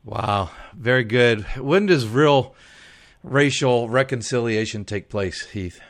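A man's voice: medium pitch, slow pace, a bit bright. A man hosting a radio interview who encourages his guest with frequent short affirmations. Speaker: radio host.

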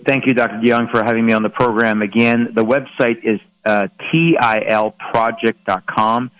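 A man's voice: medium pitch, steady tone, normal speed, fairly bright tone, rough recording. A man in his forties from Iran, answering questions as a guest in a radio interview. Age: 40s.

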